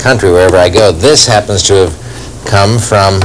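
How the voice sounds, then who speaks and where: A middle-aged man showing collectibles in conversation, measured and pausing often. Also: lowish voice, noisy background. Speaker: actor and collector, guest. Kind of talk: conversational show-and-tell. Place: wood-furnished room at a table.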